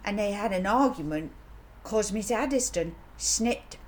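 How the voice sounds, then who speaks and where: Woman voicing an earnest, high-pitched little girl. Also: lively intonation, unhurried, darkish tone, lightly acted. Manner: childish, breathless run-on telling. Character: earnest little girl eagerly explaining grievances. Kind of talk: children's audiobook character voice. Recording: audible background noise.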